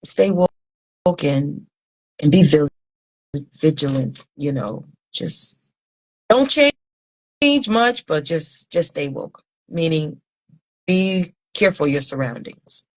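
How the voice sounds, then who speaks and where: A woman in her fifties from Liberia giving earnest, deliberate interview answers, pausing between phrases. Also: low-pitched, very animated, poor audio. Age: 50s. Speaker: interview guest, humanitarian founder.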